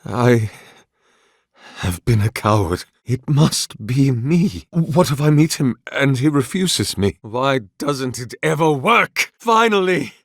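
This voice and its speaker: A tormented, devout zealot inventor for a fantasy video game. His voice sits a little low and moves very deliberately, with long, weighty phrasing. The delivery is formal and theatrical, grave with obsession, and captured dry and close. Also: dry recording. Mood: anguished. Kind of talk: dramatic video game voice acting.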